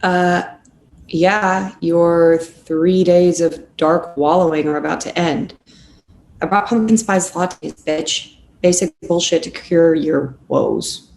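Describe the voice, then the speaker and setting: A woman's voice: lowish voice, steady tone, slow, darkish tone. A woman playing a blunt, sarcastic, impatient young best friend in a script table read. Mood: sardonic, impatient. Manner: sardonic, impatient. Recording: echoey room with faint noise.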